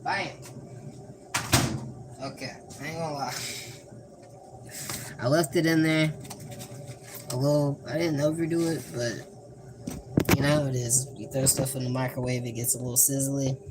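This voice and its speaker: Young Southern man, monotone deadpan drawl, rambling kitchen chatter, noisy home recording. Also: mid-range voice, a little slow, pauses often. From American South. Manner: laid-back, rambling, joking deadpan. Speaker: cooking vlogger. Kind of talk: casual cooking vlog.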